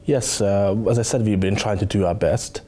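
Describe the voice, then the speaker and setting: A man in his thirties with a Hungarian accent, a think tank director being interviewed, speaking haltingly with many fillers on a lapel mic in a studio. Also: on the low side, dark tone. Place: studio set with dark curtains.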